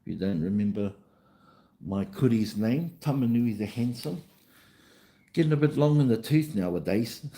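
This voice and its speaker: Mellow New Zealand man chatting casually. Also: lowish voice. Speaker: livestream host and vlogger. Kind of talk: casual livestream chat.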